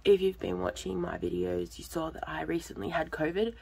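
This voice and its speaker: Congested woman with a gravelly voice. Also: low-pitched, very animated, brisk, darkish tone, a little hiss or hum. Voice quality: throat a bit off, gravelly. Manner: rambling, lots of ums and restarts. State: lingering cough and congestion after illness. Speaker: vlogger, budgeting channel host. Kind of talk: casual chatty vlog, talking to viewers.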